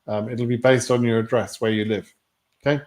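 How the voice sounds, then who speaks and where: A man's voice: mid-range voice, level tone, fairly quick, a little mellow. A man with a British accent hosting a livestream Q&A, reading out viewer questions and answering them bluntly and matter-of-factly. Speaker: livestream host answering immigration lottery questions.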